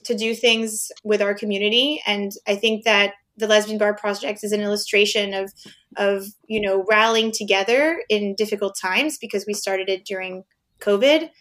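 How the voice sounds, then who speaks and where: A woman's voice: mid-range voice, steady tone, normal speed. A woman from France with a French accent, a podcast guest, speaking earnestly with some hesitation. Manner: earnest, warm-hearted, hesitant. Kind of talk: casual chat.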